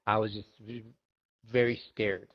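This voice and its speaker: Low-voiced reflective man, muffled sound. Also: level tone. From Argentina, raised in Miami. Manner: thoughtful, halting, many restarts. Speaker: guest, yoga instructor and coach. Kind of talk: reflective personal storytelling.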